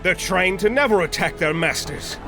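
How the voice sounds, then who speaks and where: An actor playing an arrogant, imperious master in panic, in an anime-style audio drama. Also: male voice, lively intonation, moderate pace, music in the background. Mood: panicked disbelief. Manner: imperious, shrill, incredulous. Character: arrogant male master of bred soldiers, panicking.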